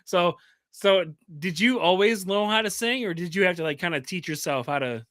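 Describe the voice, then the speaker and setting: A man hosting a casual podcast, giving short relaxed replies and telling anecdotes. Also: sits high, moderate pace, no echo, studio quality. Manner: relaxed, brief backchannel replies. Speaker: podcast host. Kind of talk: casual interview chat.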